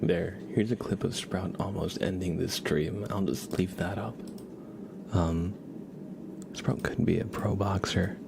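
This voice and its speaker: A man with a lowish, creaky voice talks softly to his livestream viewers, often dropping to a near whisper. He is casual and amused, speaking close to an ASMR microphone with clear noise behind him. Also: steady tone, unhurried. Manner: hushed, amused, chatting to viewers. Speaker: live streamer, ASMR host. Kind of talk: casual ASMR livestream chat, soft-spoken to half-whispered.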